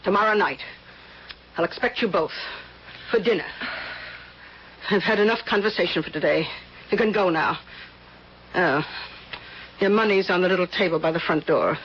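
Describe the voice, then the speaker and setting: A woman's voice: fairly low pitch, moderate pace, long pauses, lightly acted. An actress playing a domineering, prying, spiteful older rich woman in a radio drama, with an imperious, needling manner. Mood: commanding. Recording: muffled, hissy old radio drama recording.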